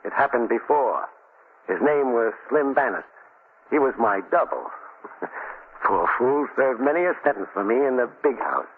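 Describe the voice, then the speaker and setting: On a muffled, narrow-band vintage radio recording, a vengeful gangster with a husky edge. He gloats and sneers in slangy tough talk, full of menace, in the manner of a 1940s crime drama. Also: medium pitch, big pitch swings, normal speed. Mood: gloating. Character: gloating, vengeful male gangster back from the dead.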